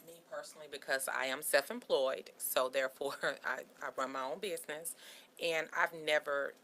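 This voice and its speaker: A woman's voice: low voice, steady tone, normal speed, a bit bright. A woman guest on a talk show offering practical advice.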